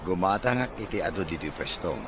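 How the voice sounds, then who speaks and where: A man acting as a friendly bachelor in an audio drama. He is casual and teasing, with a lively, swooping delivery. Clear noise runs behind him. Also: low-pitched, brisk. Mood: playful, friendly. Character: easygoing bachelor man, friendly suitor and customer. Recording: noticeable background noise.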